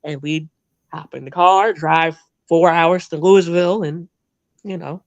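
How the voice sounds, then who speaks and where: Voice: mid-range voice, steady tone, a little slow, gravelly, no room sound, lo-fi sound. Rambly chatty person from jersey, half northern half southern accent, dry close sound. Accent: half Northern, half Southern American. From New Jersey. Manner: rambling, chatty, laughs often. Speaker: interview guest. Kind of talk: casual storytelling chat.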